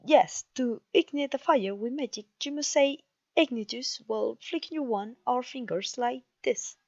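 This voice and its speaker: A woman's voice: fairly high pitch, moderate pace, no room sound, dull audio. A woman with a Mexican accent playing a clumsy, cheerful witch teacher in an ASMR roleplay, theatrical and genteel in her encouragement. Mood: gentle, instructive. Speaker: voice actor.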